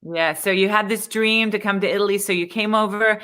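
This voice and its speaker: Bright-voiced woman hosting a livestream interview. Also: mid-range voice, fairly even intonation, fairly quick, clear, resonant tone. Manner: upbeat, chatty, admiring. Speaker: host and speaking coach.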